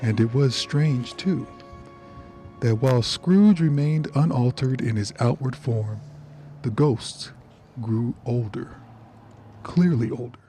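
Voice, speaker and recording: Guy as radio play narrator, mellow and solemn, measured phrasing, bit of music behind. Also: medium pitch, natural voice. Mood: storytelling. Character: solemn male storyteller.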